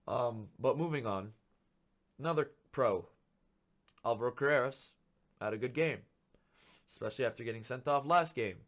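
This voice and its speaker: A man hosting a football podcast, ranting with frustration and repeating his points for emphasis, a little hoarse from being sick. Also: mid-range voice. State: slightly hoarse from illness. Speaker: podcast host. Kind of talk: solo football commentary rant.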